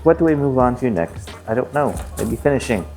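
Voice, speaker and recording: A man's voice: mid-range voice, expressive, brisk, gravelly. A man explaining his fabrication work in an informal, slangy, joking vlog style. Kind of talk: casual vlog chat. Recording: music behind the voice. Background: music.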